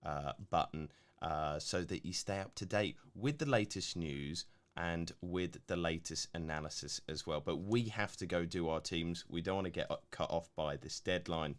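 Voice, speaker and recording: A man co-hosting a live stream, slightly gruff today, reading out viewer questions in a relaxed, filler-heavy way. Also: deep voice, moderate pace, hardly any pauses. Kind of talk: casual live stream chat.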